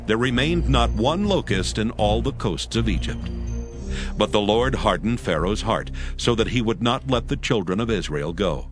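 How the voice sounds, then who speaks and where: Steady low-voiced male Bible narrator. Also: moderate pace. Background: music.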